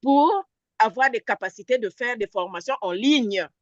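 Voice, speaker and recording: A woman's voice: on the high side, expressive, moderate pace, dry recording, dull audio. A woman from Congo-Brazzaville, an entrepreneur answering questions with polite, short replies over a video call. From Pointe-Noire, Congo-Brazzaville. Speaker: entrepreneur and participant. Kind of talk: answering questions in an online session.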